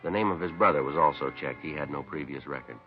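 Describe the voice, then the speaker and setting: Deadpan male police detective, old radio drama. Very low voice, fast clipped delivery. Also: soft background music. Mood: deadpan narration. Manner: clipped, matter-of-fact, deadpan. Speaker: lead detective and narrator. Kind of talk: 1950s radio police drama acting and narration. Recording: muffled 1950s radio recording.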